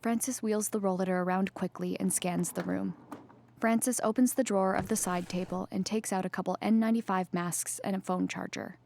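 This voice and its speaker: Measured woman reading stage directions. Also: mid-range voice, steady tone, gravelly, darkish tone, a little hiss or hum. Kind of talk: scripted narration, present-tense stage directions.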